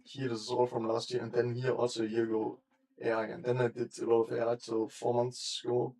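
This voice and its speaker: A man's voice: mid-range voice, completely flat delivery, fairly quick, gravelly, mellow, low-quality recording. A young man streaming casually as he works, thinking aloud in loose, rambling sentences that often trail off. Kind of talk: casual live-stream chat while working. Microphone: desk mic.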